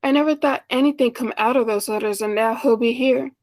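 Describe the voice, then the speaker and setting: A woman's voice: on the high side, brisk, darkish tone, no echo, close to an everyday voice. A woman acting an anxious, romantic schoolteacher in her mid-thirties in a 1940s style radio play, earnest and hesitant. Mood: flustered, anxious.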